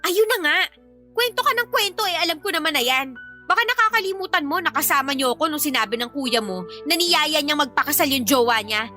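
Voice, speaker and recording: A woman's voice: high voice, lively intonation, a little fast, over music. An actress with a Filipino accent playing a jealous, irritable live-in girlfriend in a radio drama, testy and snappish. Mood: irritated, snappish.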